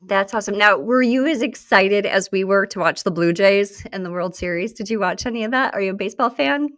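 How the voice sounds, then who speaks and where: A woman's voice: medium pitch, brisk, a bit bright. A woman from Buffalo hosting a podcast, chatting casually and laughing easily with her guest. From Buffalo, USA. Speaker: podcast host. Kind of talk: casual interview chat.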